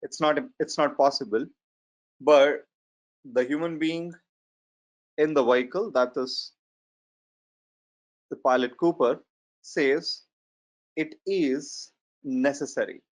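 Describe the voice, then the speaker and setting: A man in his forties with a strong Indian accent, giving an online lecture in a measured, hesitant way with fillers. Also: fairly high pitch. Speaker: data science trainer. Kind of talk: webinar lecture. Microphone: computer mic on a video call. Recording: slightly muffled online webinar audio.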